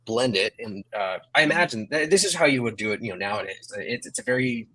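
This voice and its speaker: Husky man casually explaining. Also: mid-range voice, expressive, fast, sharp tone, dry recording, poor audio. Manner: informal, explanatory. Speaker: panelist with filmmaking experience. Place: room at home, video call.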